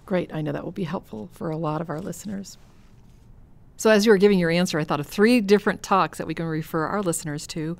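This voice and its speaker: Lower-pitched woman hosting a podcast. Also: fast, vocal fry. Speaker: podcast host. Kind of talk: podcast hosting, reading listener questions.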